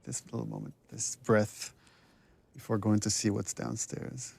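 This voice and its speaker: A man's voice: on the low side, sing-song, measured pace, creaky, darkish tone, a little hiss or hum. A man giving casual, conversational director's commentary. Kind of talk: casual film commentary chat.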